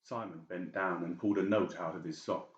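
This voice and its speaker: A man with a very low voice narrates a dramatised story. The sound is roomy and a little muffled, as in a boxy home recording. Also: steady tone, fairly quick. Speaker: audiobook narrator.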